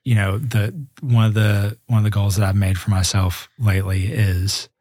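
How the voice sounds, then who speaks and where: A man's voice: on the low side, little pitch movement, normal speed, a little mellow, no echo, clean studio sound. A man in his twenties, a musician guest in a casual interview, talking earnestly and reflectively with frequent restarts. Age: 20s.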